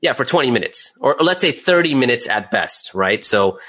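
A man's voice: medium pitch, sing-song, fairly quick, sharp tone. A man speaking casually as an interview guest, explaining things step by step and checking in with the listener as he goes. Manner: conversational, step-by-step explaining with rhetorical "right?" check-ins. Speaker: interview guest, audio drama creator and voice actor.

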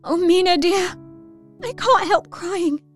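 An actress playing an excitable, romantic Victorian girl of nineteen, reading her letter effusively in an audio drama. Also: very high-pitched, slow, soft background music. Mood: tearful pity. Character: excitable, romantic nineteen-year-old Victorian young lady.

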